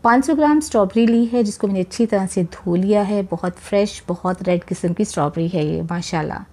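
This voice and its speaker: A woman's voice: medium pitch, fairly quick. Chatty woman hosting a cooking channel. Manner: warm, chatty, repeats religious blessings while cooking; addresses viewers directly, encouraging. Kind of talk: recipe demonstration voice-over. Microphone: voice-over, speaker not seen.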